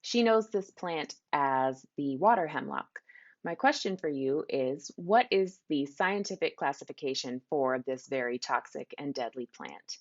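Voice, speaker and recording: Low woman author, calm and clear, kinda muffled recording. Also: measured pace. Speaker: young adult author. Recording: dull lo-fi recording.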